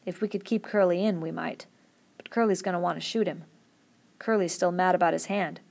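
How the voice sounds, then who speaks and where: A woman voicing a calm, steady ranch leader who speaks quietly in a rural drawl. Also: fairly low pitch, fairly quick, creaky. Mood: somber.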